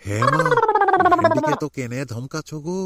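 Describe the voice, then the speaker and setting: A man's voice: a little high, wildly swooping pitch, moderate pace, sharp tone, no room sound, full character voice. A man playing an old, placating village grandfather in an amateur cartoon, with a Purulia Bengali accent. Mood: reproving. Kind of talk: amateur cartoon comedy dialogue.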